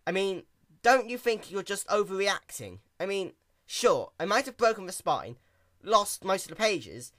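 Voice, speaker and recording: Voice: medium pitch, very animated, a little slow, fairly bright tone, dry recording, studio quality, pitched above the performer's own voice. A performer voicing a nervous, apologetic young male pony who stutters and hesitates in a storybook reading. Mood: anxious, pleading.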